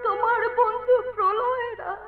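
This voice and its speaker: Casting: anguished young wife, folk-theatre melodrama, piercingly high, declamatory, muffled stage-play recording with music. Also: female voice, steady tone, normal speed. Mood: tearful, anguished. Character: anguished, wronged young wife, tearful and pleading. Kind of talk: Bengali jatra folk-theatre acting.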